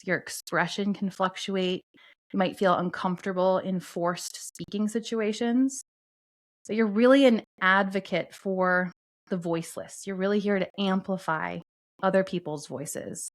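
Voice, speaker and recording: A woman co-hosting a podcast, calmly explaining astrology and human design concepts in a steady teaching tone. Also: mid-range voice. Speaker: podcast co-host.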